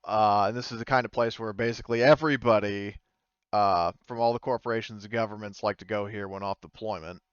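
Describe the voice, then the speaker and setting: Casting: easygoing male game master, middle pitch, clean voice, close dry sound. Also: steady tone, clean tone, no room sound, dull audio. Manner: halting, informal, easygoing. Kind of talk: casual improvised tabletop game narration.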